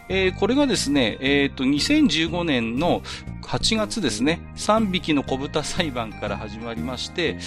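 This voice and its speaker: Man podcasting over clearly audible music. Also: on the high side. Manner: polite, conversational explaining. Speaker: podcast host. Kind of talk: casual explanatory podcast monologue about TV. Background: music under the voice.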